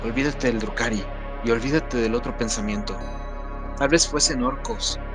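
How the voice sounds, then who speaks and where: A man acts out a battle-worn soldier in an audio war drama. He is tormented and intense, with a middle-pitched voice, arguing with himself or barking orders. Prominent music plays behind him. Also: normal speed, lightly acted. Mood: brooding, troubled.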